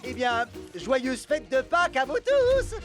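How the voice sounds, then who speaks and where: Cartoon contest announcer: showy, jovial, proclaiming, huge pitch swings, loud music underneath. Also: big pitch swings, slow pace, full character voice. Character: jovial, theatrical cartoon egg-hunt announcer. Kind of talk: animated cartoon dubbing.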